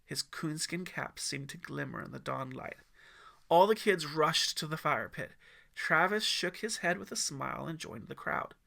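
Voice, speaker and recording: A man's voice: a little high, lively intonation, moderate pace, gravelly, sharp tone. A man reading scripted story narration as the narrator of his own audiobook.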